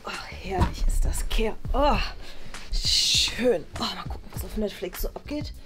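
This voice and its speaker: A woman's voice: medium pitch, animated, a little slow, in a whisper, natural voice. Actress as off-duty female teacher, young, easygoing, theatrical self-talk for online comedy, mid-range voice over music. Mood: relieved, carefree. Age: young adult. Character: laid-back female teacher enjoying her evening off. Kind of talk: comedy sketch acting. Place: small bright furnished room indoors.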